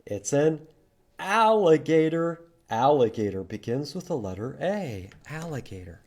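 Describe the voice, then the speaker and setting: A man's voice: fairly high pitch, very animated, a little slow, darkish tone, a little hiss or hum. A man hosting a children's storytime, reading aloud and teaching letters in short, simple phrases with sing-song emphasis for young listeners. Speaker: children's storytime host at a library.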